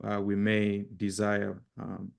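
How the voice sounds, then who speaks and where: A man with a Zambian accent giving an informal presentation as a guest speaker, speaking haltingly with repeated words and frequent pauses. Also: lowish voice, monotone, creaky. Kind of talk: informal presentation with personal introduction.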